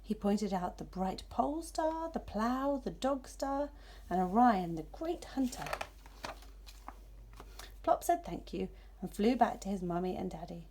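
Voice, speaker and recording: A woman gently and expressively narrating a children's story as its reader. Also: mid-range voice, sing-song, unhurried, slight background noise.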